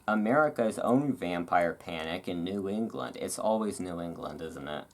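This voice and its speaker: A host reading aloud in a relaxed ASMR style, with casual, musing asides. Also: low-pitched, normal speed. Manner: rambling, musing asides between read passages.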